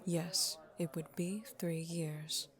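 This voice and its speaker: A woman's voice: fairly low pitch, flat delivery, slow. A woman playing a reserved, patient woman of few words in an audio drama. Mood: composed. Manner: terse, composed.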